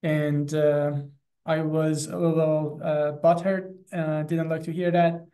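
A man from Iran in his thirties, speaking with an Iranian accent. His voice is soft-edged and mellow, staying on an even line. He talks earnestly and haltingly, like an activist telling his own story in an online interview. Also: a little high. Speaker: animal rights activist and guest. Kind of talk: personal account in an online interview. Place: small classroom in front of a chalkboard. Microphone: video call, close to computer.

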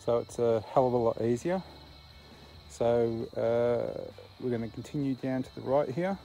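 A man's voice: mid-range voice, a little slow, warm tone, faint music behind. A man in his thirties with an Australian accent, talking casually to camera while hiking a bush trail at night, out of breath and puffing between phrases. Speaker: hiker vlogger. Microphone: camera held close at arm's length.

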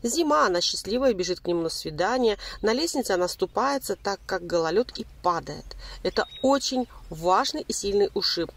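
A woman reading a scripted spiritual self-help voice-over in a measured, explanatory manner with deliberate pauses. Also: mid-range voice, expressive. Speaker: narrator giving spiritual self-help advice.

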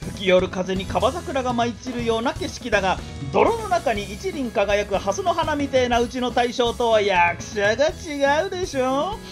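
A brash, chatty samurai scout in a radio drama, pitched high with sweeping, lively intonation. The talk is rough old-time patter, told with theatrical relish over music. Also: female voice. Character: brash, talkative samurai scout telling war news with relish.

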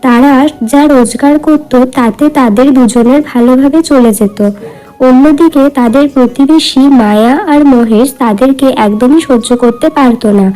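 A woman's voice: high-pitched, normal speed, warm tone, rough recording. A woman narrating a scripted fairy tale as storyteller. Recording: music under the voice.

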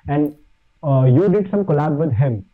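An Indian-accented man whose first language is Hindi talks casually as a guest, stopping often to search for words. His voice sits a little high. It comes through a thin, phone-like line. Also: expressive. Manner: halting, many fillers and restarts. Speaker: podcast guest, fitness YouTuber. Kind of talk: casual chat.

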